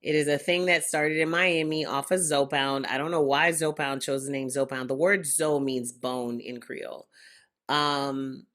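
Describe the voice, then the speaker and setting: Low voiced young woman on a podcast, haitian american, chatty and jokey. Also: steady tone, a little slow, a bit bright. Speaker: podcast co-host. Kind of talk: casual podcast chat.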